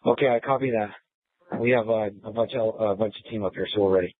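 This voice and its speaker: A man's voice: lowish voice, quick pace, dry recording. A man speaking as a police officer, giving status updates over police radio. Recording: tinny narrow police scanner radio.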